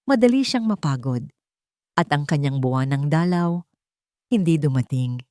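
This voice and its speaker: A woman narrating a scripted dramatic romance story in measured, deliberate phrases with short pauses. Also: sits low, animated, darkish tone, clear, resonant tone, dry recording, clean studio sound.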